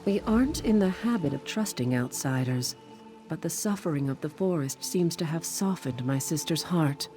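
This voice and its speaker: A woman's voice: low voice, lively intonation, normal speed, dark tone, soft background music. A woman playing a fierce young forest shapeshifter witch in a video game, speaking in a blunt, guarded manner. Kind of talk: video game character dialogue.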